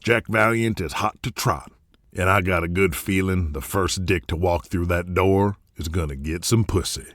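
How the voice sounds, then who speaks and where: A man's voice: low voice, moderate pace, a bit bright, no room sound, studio quality. A man playing a scheming, foul-mouthed wrestling promoter in an audio drama, talking in a conspiratorial, folksy and crude way. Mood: conspiratorial glee.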